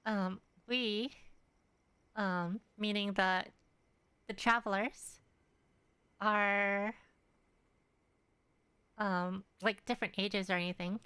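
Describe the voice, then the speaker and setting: A woman's voice: medium pitch, steady tone, slow pace, long pauses, sharp tone, clean tone, no room sound. A woman doing casual game commentary, thinking aloud in a hesitant, rambling way. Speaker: game streamer.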